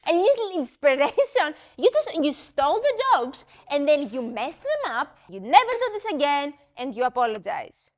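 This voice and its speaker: A woman playing an exasperated comedy writer who scolds her AI, expressive and emphatic. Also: high voice, moderate pace, hardly any pauses.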